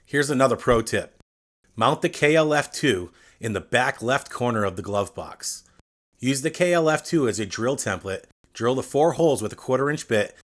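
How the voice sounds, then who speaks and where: A man reading a scripted step-by-step tutorial, addressing the viewer directly in a clear instructional manner. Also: fairly low pitch. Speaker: instructional video narrator.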